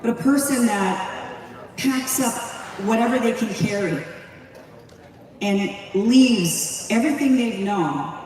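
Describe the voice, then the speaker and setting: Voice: mid-range voice, lively intonation, slow, pauses often, darkish tone, distant mic. Impassioned speaker in an echoey hall. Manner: impassioned, with many rhetorical questions. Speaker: speaker at a community political event. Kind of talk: rousing public speech.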